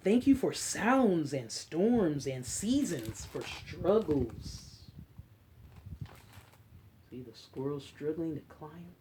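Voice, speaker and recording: A woman hosting a children's story time, reading a picture book aloud in an expressive, drawn-out way with chatty, encouraging asides. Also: sits low, slight background noise, low-quality recording.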